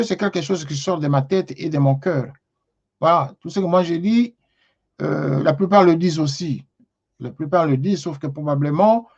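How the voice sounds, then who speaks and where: Call-in host, halting and repetitive, on a dull lo-fi recording. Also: medium pitch, a little mellow. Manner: halting, repeats words, stretches key phrases. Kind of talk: reading and answering listener messages. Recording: slightly dull lo-fi sound.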